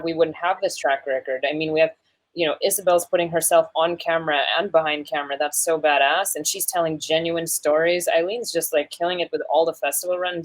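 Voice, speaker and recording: Woman filmmaker on a video call, lowish voice, candid and excited, lots of slang. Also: poor audio. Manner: candid, enthusiastic, informal slang. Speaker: filmmaker panelist. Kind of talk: casual roundtable talk. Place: home, remote video call.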